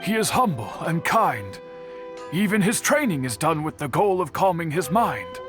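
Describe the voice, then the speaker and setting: Formal, earnest higher-pitched swordsmith man. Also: very animated, moderate pace, music in the background. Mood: shocked, defensive. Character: courteous, earnest middle-aged Japanese swordsmith uncle, solemn. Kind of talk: video game voice acting.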